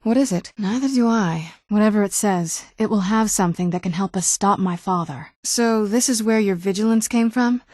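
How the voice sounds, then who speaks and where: A woman's voice: medium pitch, normal speed, hardly any pauses, a little mellow, no echo, clean studio sound, natural voice. A young woman playing an ancient but youthful vampire noblewoman in a video game, speaking in a wry, understated, conversational way. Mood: wry, composed. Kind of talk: video game character dialogue.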